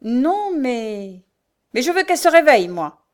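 A woman's voice: on the high side, lively intonation, moderate pace, a little mellow, close to an everyday voice. A woman voicing a timid, kind-hearted young knife-grinder in a dramatic audiobook, speaking simply and hesitantly as he pleads. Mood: anxious protest.

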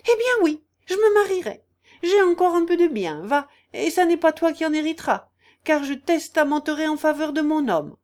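A woman voicing a self-pitying, shrewish peasant aunt in a story, loud, indignant and wailing. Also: sits high, expressive, unhurried, pitched above the performer's own voice. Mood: shrill mock despair.

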